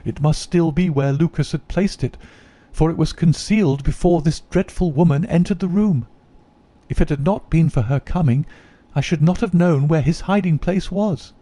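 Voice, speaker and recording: A man's voice: on the high side, normal speed, warm tone, voice pushed up, natural voice. A man voicing a troubled young noblewoman who tells her story in an earnest, confessional way. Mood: remorseful.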